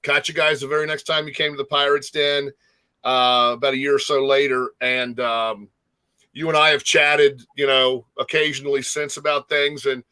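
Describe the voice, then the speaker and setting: A man's voice: medium pitch, flat delivery, normal speed, sharp tone, smooth. A middle-aged man hosting a casual music interview, speaking in an effusive, admiring manner. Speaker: talk show host.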